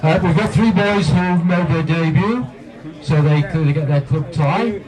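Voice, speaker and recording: A man's voice: a little high, unhurried. A man, the team physio, handing out club ties at a post-match gathering with a joking, teasing manner. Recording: distant mic with loud crowd.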